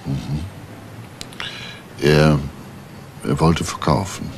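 An actor playing a probing, authoritative police detective with a gravelly voice in a 1980s German crime radio drama, asking terse questions. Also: male voice, a bass voice, extremely slow, full character voice. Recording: faintly noisy old radio recording.

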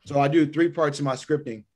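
A man's voice: fairly high pitch, fairly even intonation, moderate pace, a little mellow. Man explaining his motivational daily routine. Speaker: podcast guest. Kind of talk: conversational explaining of personal routines. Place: indoor room by a window, on a video call.

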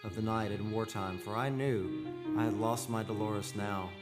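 A man's voice: fairly low pitch, steady tone, normal speed, over loud music, close to an everyday voice. An actor playing a solemn, haunted man devoted to his beloved in a live radio drama, speaking dramatically. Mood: mournful. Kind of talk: live vintage-style radio drama narration and acting. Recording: noisy live theater radio recording.

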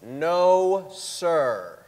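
A man's voice: fairly high pitch, sing-song, extremely slow, a little mellow, heavily acted. A young man playing an evasive, stubborn school official on the witness stand in a stage reading, answering curtly. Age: young adult. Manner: curt, stubborn denials. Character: defensive, evasive male school board president on the witness stand. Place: large theatre stage, indoors. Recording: echoey theatre hall.

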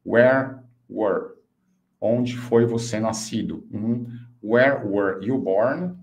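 A man in his fifties with a Brazilian accent, a native Portuguese speaker, teaching an online English lesson and slowly enunciating example phrases. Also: lowish voice, gravelly. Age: 50s. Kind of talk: online language lesson, explaining and modelling phrases.

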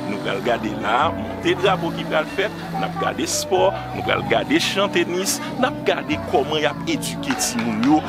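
A man with a Haitian accent, reporting on location in an outdoor schoolyard and gesturing broadly as he talks. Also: mid-range voice.

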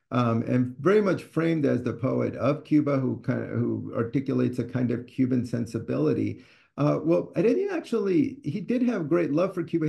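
Author, 60s, from Ecuador: gravelly, mellow voice, halting and thoughtful, webcam in a study. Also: male voice, medium pitch. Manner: thoughtful, hesitant with frequent fillers and restarts. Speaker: author and scholar. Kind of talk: conversational talk on a video call. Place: home study with bookshelves. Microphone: video call webcam.

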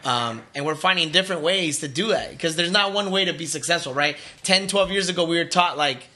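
Casual male host, bright tone, easygoing and chatty. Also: on the high side, brisk. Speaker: podcast host. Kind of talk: casual podcast chat.

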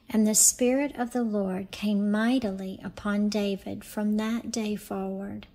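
A woman reading scripture aloud in a solemn, measured and deliberate way. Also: mid-range voice, dark tone. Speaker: scripture reader.

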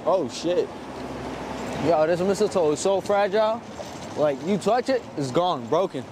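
Loud playful man teasing strangers. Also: high-pitched, lively intonation, unhurried. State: cold, runny nose. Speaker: prank vlogger. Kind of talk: street prank vlog. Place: outdoors in a busy city crowd. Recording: loud music and crowd noise outdoors. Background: loud music and crowd.

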